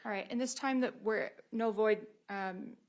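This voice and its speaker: A woman's voice: mid-range voice, unhurried. Woman attorney questioning a witness, methodical. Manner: methodical, procedural. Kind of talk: courtroom questioning. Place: courtroom.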